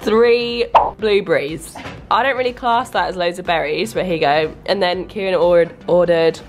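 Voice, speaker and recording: A young woman with a croaky voice, chatting casually to camera in a vlog and teasing her partner. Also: lowish voice, normal speed, a little hiss or hum. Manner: chatty, teasing, laughs often. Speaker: vlogger.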